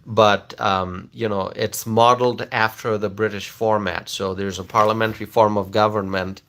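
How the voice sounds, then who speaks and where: A man's voice: lowish voice, little pitch movement, normal speed, a bit bright. A man from Bangladesh, earnestly explaining politics to viewers in a casual, rambling way full of fillers and restarts. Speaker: political commentator answering viewers. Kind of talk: casual livestream talk.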